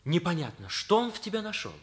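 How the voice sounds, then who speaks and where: An actor playing a blunt, teasing teenage schoolboy in a Soviet radio play, with a dry, needling way of talking. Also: male voice, high-pitched, very animated, fast talker.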